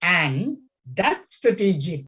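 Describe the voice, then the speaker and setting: A woman's voice: lowish voice, big pitch swings, slow, fairly bright tone, husky. A middle-aged woman from Sri Lanka, an academic talking through her research in a hesitant way, with many fillers and repeated key phrases. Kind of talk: conversational interview.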